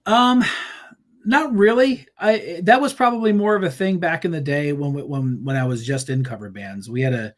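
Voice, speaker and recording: A man's voice: a little high, normal speed. A man guest on an interview show, chatting casually about his band in a rambling way, with many fillers and restarts, laughing easily. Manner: laughs easily, animated storytelling. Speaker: musician guest.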